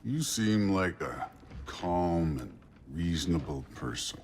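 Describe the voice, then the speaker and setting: An actor playing a burly Norse thunder god in a video game, mock-polite and menacing, with a deliberate, drily needling delivery. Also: male voice, low voice, lively intonation, darkish tone, exaggerated voice. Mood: mock-polite menace. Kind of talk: cinematic video game acting. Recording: faint background noise.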